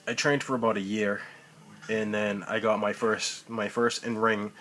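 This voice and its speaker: A man's voice: lowish voice, little pitch movement, a little slow, a bit bright, slight background noise. A man, rambling profanely and full of ums as he talks about wrestling into a tablet mic. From Boston, Massachusetts. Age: 30s. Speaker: amateur wrestler. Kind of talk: rambling self-recorded vlog. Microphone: tablet built-in mic.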